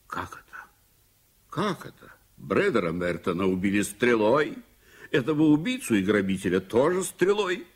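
An actor playing a hot-tempered, blustering businessman in a 1980s Soviet radio drama, husky, emphatic and volatile. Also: lowish voice, big pitch swings, moderate pace. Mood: shocked.

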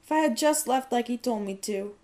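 A woman's voice: a little high, fairly quick, close to an everyday voice. A woman voicing a plucky young girl who poses as a newsboy, in a fan audio drama. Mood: guilty. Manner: expressive, cries out in alarm.

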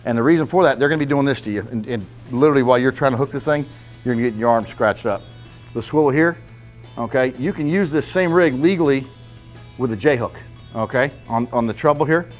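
A man's voice: medium pitch, fast, soft background music. A man in his fifties giving a casual, blunt fishing seminar in a club meeting room, checking in with "okay?" as he goes. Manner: blunt, folksy, repeats "okay?" to check listeners. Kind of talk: casual hands-on fishing talk.

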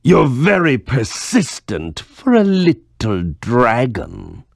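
An actor playing a pompous little tyrant villain in a video game, sneering, with a gravelly voice. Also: male voice, medium pitch, wildly swooping pitch, slow pace, no room sound, clean studio sound, full character voice. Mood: sneering, menacing.

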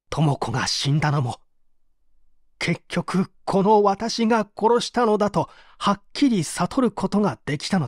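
Guy doing a haunted murder suspect confessing, slow and dramatic, dry close sound, audio drama. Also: fairly high pitch, no room sound. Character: tormented male murder suspect confessing, weary and fatalistic. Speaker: voice actor reading a story. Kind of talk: dramatic audio reading of a mystery.

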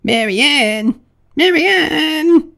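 A storybook rabbit master, very high and wildly swooping. He is fussy, imperious and quick to scold, barking out his orders with exclamations. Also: huge pitch swings, full character voice. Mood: imperious, angry.

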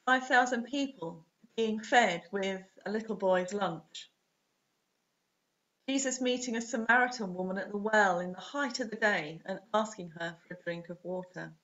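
A woman in her forties reading a scripted sermon as a priest, in measured, deliberate short phrases, recorded on a webcam at home. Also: mid-range voice, a bit bright. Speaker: preacher, Anglican priest. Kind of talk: scripted sermon read to camera. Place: small home room, indoors. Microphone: webcam or laptop at desk distance. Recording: dull, muffled webcam audio.

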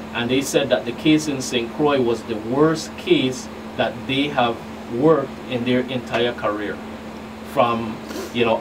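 A middle-aged man answering interview questions as a guest investigator, in a halting way with repeated words. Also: medium pitch. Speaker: guest federal investigator on a radio show. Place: small radio studio. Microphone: studio mic on boom arm. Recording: distant mic, clearly noisy room hum.

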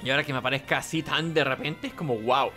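A man's voice: medium pitch, fast, bright, forceful tone, faint music behind. A man giving casual, rambling let's play commentary, talking to himself. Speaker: gaming host.

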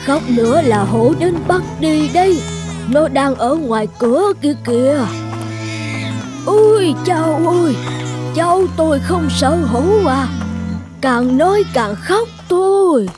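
A doting old cartoon grandmother. She speaks slowly in a high, tender, coaxing singsong, with music clearly behind her. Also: animated. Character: doting old village grandmother soothing a crying child. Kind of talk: animated folk-tale voice acting.

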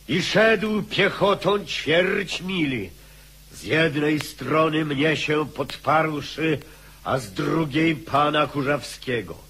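An actor playing a loyal soldier earnestly recounting a last stand in a radio drama. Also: male voice, a little high, sharp tone, breathy edge, heavily acted.

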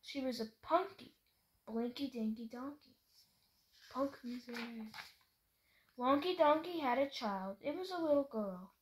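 A woman's voice: sits high, expressive, a little slow, pauses often, low-quality recording. A woman reading a children's story aloud in a playful, sing-song way, leaning on the rhymes. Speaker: picture book reader. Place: bedroom at home, indoors. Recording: home video with clear background noise.

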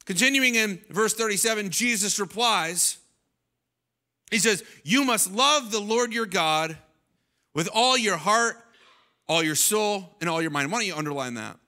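A man in his thirties preaching a sermon on a church stage into a handheld mic, animated and often restarting his phrases. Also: sits high, a little slow. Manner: animated, gestures while preaching, many restarts. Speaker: pastor. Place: large church stage, indoors. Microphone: handheld microphone.

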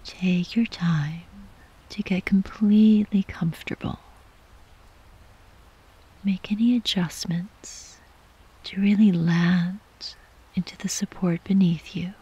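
A woman guiding a sleep meditation in a soft, breathy, whispery voice, gently and soothingly, with long unhurried pauses. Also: lowish voice, fairly even intonation, poor audio. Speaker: yoga nidra meditation guide.

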